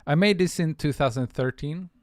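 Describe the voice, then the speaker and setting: A man's voice: a little high, moderate pace, darkish tone, no echo, studio quality. A man with a Swedish accent, chatting loosely with viewers on a music livestream, full of fillers and restarts. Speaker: music producer streamer. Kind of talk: casual livestream chat.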